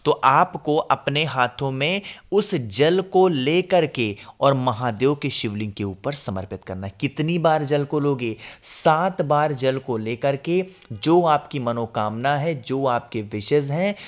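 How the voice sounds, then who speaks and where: A man with a sore throat who clears it now and then, casually explaining home devotional rituals to viewers and often checking "all right?". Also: mid-range voice, slow pace, hardly any pauses. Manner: repeats "ठीक है ना?" to check with viewers. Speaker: devotional remedies video host. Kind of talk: casual spoken instruction to viewers.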